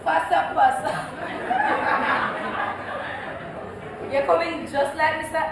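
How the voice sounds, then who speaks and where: A woman's voice: sits high, fairly even intonation, fairly quick, creaky, over a noisy crowd. An actress playing a blunt young Jamaican woman on stage, speaking Patois in a direct, matter-of-fact way. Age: 20s. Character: blunt, plain-spoken young Jamaican working-class woman. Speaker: stage actor. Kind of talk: theatrical comedy-drama. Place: indoor theatre stage. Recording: echoey noisy theatre, distant mic.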